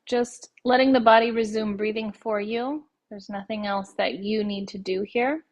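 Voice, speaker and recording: A woman leading a guided yoga nidra meditation in a gentle, soothing, measured way, leaving long pauses between short phrases. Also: medium pitch, steady tone.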